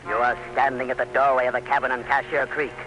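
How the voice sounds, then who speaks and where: A man's voice: medium pitch, little pitch movement, fast, sharp tone, soft background music, poor audio. A man narrating an ominous, emphatic teaser in old-time radio style. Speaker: radio announcer.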